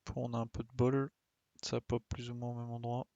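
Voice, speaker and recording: Homme à la voix craquante, streamer de jeu. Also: male voice, medium pitch, completely flat delivery, brisk, warm tone, no room sound, highs cut off. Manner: informal, slangy, thinking aloud. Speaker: game streamer. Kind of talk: live gameplay commentary, casual muttering and exclaiming.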